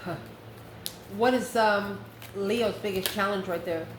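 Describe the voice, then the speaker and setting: A woman's voice: mid-range voice, a little slow. A woman giving a casual, improvised tarot reading as an online host, musing aloud as she goes through the cards. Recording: roomy echo with faint background noise.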